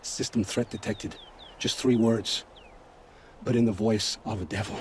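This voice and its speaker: A man playing a guilt-ridden scavenger scout in a video game, speaking gravely and with haunted, poetic phrasing. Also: fairly low pitch, steady tone, measured pace, a little hiss or hum, natural voice. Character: guilt-ridden male scavenger scout, forced informant, begging for family. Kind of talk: dramatic video game acting. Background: background music.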